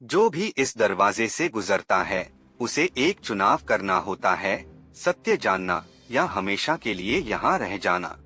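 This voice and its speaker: A man's voice: lowish voice, very animated, slow, pushed and bright, slightly rough, full character voice. A man narrating as a sad, mysterious ghost girl. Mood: solemn. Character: sad, mysterious young ghost woman trapped in time.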